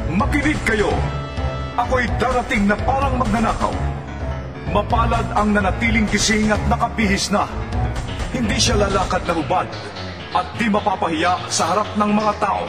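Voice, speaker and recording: A man's voice: high voice, moderate pace, lightly acted. A man with a Filipino accent playing a commanding divine Lord, proclaiming a warning in a Bible audio drama. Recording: echoey, distant voice over music.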